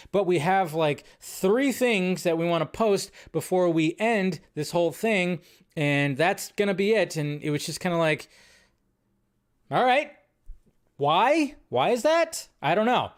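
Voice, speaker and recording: A man hosts a live movie news stream in a loose, chatty ramble. His voice sits a little high for a man, and he keeps stringing thoughts together with fillers and restarts. It sounds like a desk mic at a streaming setup. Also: lively intonation, unhurried. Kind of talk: casual live-stream chat.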